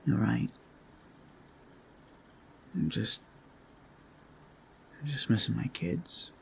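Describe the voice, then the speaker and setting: Man playing a slow, earnest orc father. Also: mid-range voice, vocal fry, a little hiss or hum, full character voice. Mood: sad, wistful. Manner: halting, earnest, reflective. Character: Omar, anxious, devoted orc father, grieving for kids. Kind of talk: roleplay audio drama.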